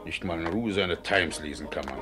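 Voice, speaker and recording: A man's voice: deep voice, moderate pace, over loud music, natural voice. An actor in a German radio play, playing a pompous, doting middle-aged Russian landowner and father who is effusive and easily indignant. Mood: pompous, complaining.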